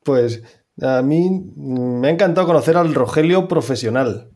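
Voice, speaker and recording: A man hosting a podcast, reading quick-fire questions to a guest in a friendly, casual way. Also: medium pitch, darkish tone. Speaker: podcast host.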